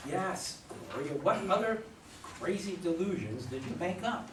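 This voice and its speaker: A man's voice: high-pitched, lively intonation, a little slow. A man playing a dismissive, sarcastic husband who belittles his wife in a staged play reading. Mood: mocking. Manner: mocking, belittling. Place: room at a live readers' theater reading. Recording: echoey room, distant mic, faint noise.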